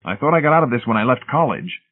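A man's voice: medium pitch, lively intonation, fast, slightly rough, voice pushed up. A stubborn, hot-tempered engineer, voiced by a male reader argues in an indignant, combative way. It is storybook dialogue with a narrow, phone-like sound. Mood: exasperated, angry.

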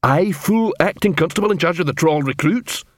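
A man voicing a big, slow-witted troll sergeant in an audiobook, blustering and proud, barking orders in broken grammar. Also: fairly high pitch, big pitch swings, a bit bright, no room sound, studio quality, pitched above the performer's own voice, heavily acted. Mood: surprised, proud.

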